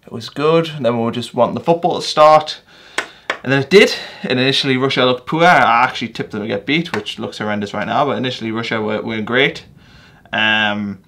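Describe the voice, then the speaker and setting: A man's voice: mid-range voice, bright, forceful tone, slight background noise. A young man with a North East English accent, giving a casual, rambling football match reaction with fillers and self-corrections. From England. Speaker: football fan vlogger.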